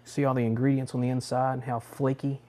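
A middle-aged man hosting a cooking show, talking through a recipe step by step in a relaxed, instructional way from a home kitchen. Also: medium pitch, quick pace.

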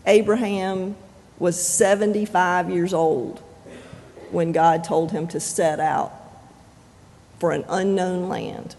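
A pastor preaching a sermon in measured, deliberate phrases with frequent pauses. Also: mid-range voice, fairly even intonation, gravelly, darkish tone. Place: church sanctuary. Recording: long reverb of a church sanctuary.

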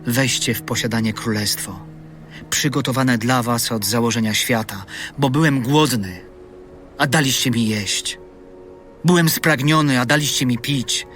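An actor playing a solemn gospel narrator, measured and declamatory, in a dramatised audio Bible. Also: male voice, medium pitch, fairly bright tone, lightly acted. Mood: emphatic. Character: solemn gospel narrator foretelling a kingly judgement. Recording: music bed under voice.

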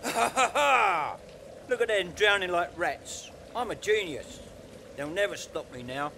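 A boastful, malevolent ghost played by a man schemes and rages in a radio play. The voice is high and theatrical, full of gloating menace. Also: lively intonation, measured pace, creaky, sharp tone, a little hiss or hum, exaggerated voice. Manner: theatrical, gloating, alliterative ranting.

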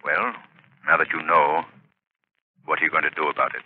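A cool, unflappable crime fighter answers threats with dry irony. His voice is very deep and a little husky. It sounds dry and tinny, like an old radio broadcast. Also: brisk. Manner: calm, dry, ironic. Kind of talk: 1930s radio drama acting.

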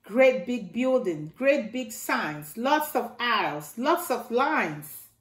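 Slow sing-song woman reading to kids. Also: fairly high pitch, animated. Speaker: storytime reader. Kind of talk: reading a rhyming picture book aloud. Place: living room by a staircase, indoors. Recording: echoey room.

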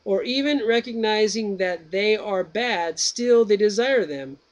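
A man reading aloud the lines of Socrates, a patient older philosopher who questions his pupil in slow, deliberate phrases. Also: high voice, lively intonation, lo-fi sound, pitched above the performer's own voice. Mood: probing.

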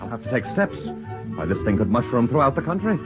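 A man's voice: medium pitch, big pitch swings, fast, close to an everyday voice. An actor playing a pompous, grave businessman in a 1940s radio play. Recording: dull 1940s radio recording with music.

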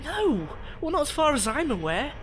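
A woman's voice: high-pitched, sing-song, normal speed, over music. A woman playing a worried, loyal sister in an audio drama. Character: worried, loyal sister searching for her captive sibling.

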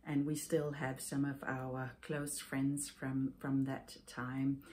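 Danish woman in her forties, low voice, slow and searching delivery, podcast host talking to a webcam at home. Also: level tone, darkish tone, slight background noise. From Denmark. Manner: thoughtful, halting, with many fillers and restarts. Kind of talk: casual personal storytelling. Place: home room with yarn shelves, indoors.

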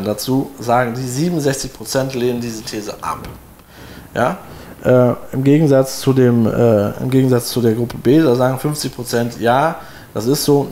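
A man's voice: mid-range voice, creaky, a little mellow, slight background noise. A man in his forties, seated indoors, explaining poll results to viewers in a loose, chatty way with many fillers and restarts. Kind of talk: walking viewers through a results screen. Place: room with window blinds, indoors.

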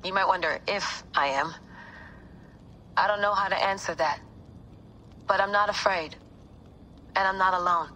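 A woman's voice: mid-range voice, a little hiss or hum, natural voice, low-quality recording. A woman voicing a resolute woman saying farewell. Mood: earnest. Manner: earnest, steady, halting. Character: resolute young woman leaving a farewell message. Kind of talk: video game cutscene acting.

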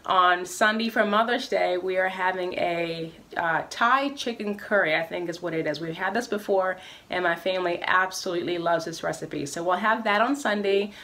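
A woman's voice: on the low side, moderate pace, pushed and bright. A woman in her thirties chatting casually in a home kitchen vlog, walking through her meal plan and listing groceries one by one in an upbeat way. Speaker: vlogger. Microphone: camera in front, medium framing.

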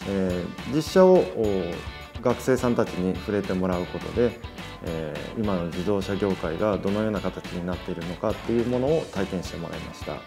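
A man's voice: low-pitched, animated, normal speed, over music. A man speaking politely in an interview, explaining his work as a car dealership employee.

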